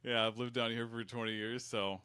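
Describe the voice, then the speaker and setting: A man's voice: medium pitch, little pitch movement, a little fast, pushed and bright, smooth, no room sound. A man from Michigan's Upper Peninsula, a fire service educator, talking in a folksy, rambling, self-deprecating way. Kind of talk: casual interview.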